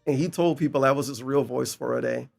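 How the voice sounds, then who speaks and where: A man's voice: medium pitch, brisk, gravelly, darkish tone, soft background music. A man giving casual, mocking reaction commentary as a streamer, incredulous, sarcastic and profane.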